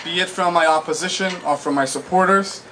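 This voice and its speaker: A man in his twenties from Israel giving a public speech as a guest speaker, deliberate and articulate, phrase by phrase. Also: high-pitched, pushed and bright. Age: 20s. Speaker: guest speaker, political activist. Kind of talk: public speech, personal testimony. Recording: noisy live event recording.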